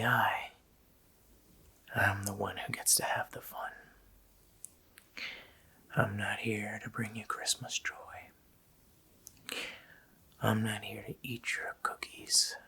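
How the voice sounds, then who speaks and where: A man voicing a sinister, taunting Christmas demon in a slow, hoarse, menacing whisper for an ASMR roleplay. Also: lowish voice, pushed and bright, full character voice. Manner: slow, menacing, drawn-out phrasing.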